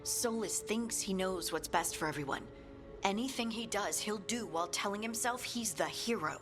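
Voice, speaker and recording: An actress playing a resolute, serious fantasy adventurer in a video game, speaking firmly and directly. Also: female voice, medium pitch, normal speed, close to an everyday voice. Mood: indignant. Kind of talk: dramatic video game dialogue. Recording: faint music behind the voice.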